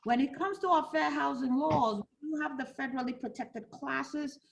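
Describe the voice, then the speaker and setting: A woman's voice: sits high, moderate pace, vocal fry, poor audio. A woman from Ghana teaching a fair housing class for realtors, role-playing buyers and agents as she talks. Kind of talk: interactive training class.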